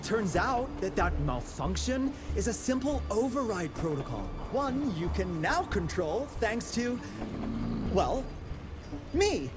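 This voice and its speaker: An actor playing an eccentric, excitable young scientist in a video game, with an American accent and a chatty, cheeky manner. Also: male voice, sits high, slow pace, heavily acted. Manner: talkative, cheeky, nerdy. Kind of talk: video game cutscene acting. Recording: loud music under the voice.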